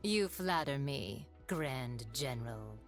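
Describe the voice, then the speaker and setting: A woman's voice: extremely low pitch, lively intonation, takes it slowly, faint music behind, full character voice. A woman voicing a scheming, arrogant female sorceress in a game, with a silky, teasing and sly delivery. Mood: sly taunting. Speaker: game character voice line. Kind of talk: recorded game voice acting.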